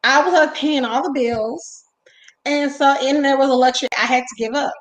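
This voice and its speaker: Young woman host, raspy and high, chatty livestream talk, echoey home room. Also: moderate pace. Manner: chatty, reads viewer comments aloud. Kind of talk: casual livestream chat. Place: home room on a video call.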